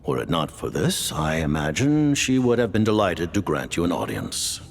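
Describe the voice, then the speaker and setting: Deep gravelly formal commander, slow grave delivery, music under it. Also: male voice, big pitch swings, a little hiss or hum, full character voice. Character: stern, formal highest-ranking male commander. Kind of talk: video game voice acting. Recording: game audio with music.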